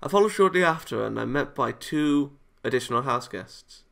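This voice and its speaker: A man's voice: mid-range voice, lively intonation, normal speed, creaky, fairly bright tone. A man reading a visual novel aloud for a let's play, playful and exclaiming, breaking into joking asides. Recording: music behind the voice. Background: visual novel game music.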